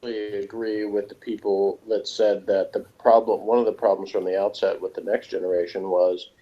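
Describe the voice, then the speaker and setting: A man's voice: lowish voice, flat delivery, normal speed. A man in casual review chat, rambling and opinionated, as a podcast reviewer. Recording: dull, muffled lo-fi sound.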